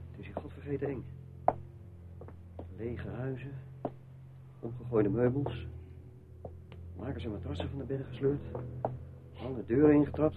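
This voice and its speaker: A man's voice: mid-range voice, close to an everyday voice. Man playing a jittery, mouthy thief, tinny recording. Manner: sardonic, colloquial, mock-polite. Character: jittery small-time thief, mouthy, superstitious, hard-drinking. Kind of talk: radio play acting. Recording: tinny narrow-band old radio recording, noisy.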